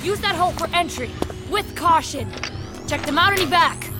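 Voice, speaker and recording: High, fierce woman squad commander. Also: lively intonation, normal speed, loud music behind the voice. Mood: urgent, commanding. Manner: intense, commanding. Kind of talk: audio drama acting.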